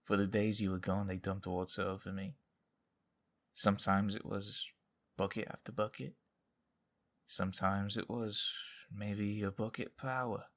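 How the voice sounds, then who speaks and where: An actor playing a haunted, loyal soldier who survived captivity, speaking in a halting, confessional way in an audio drama. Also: male voice, lowish voice, little pitch movement, a bit bright. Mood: bitter, fearful.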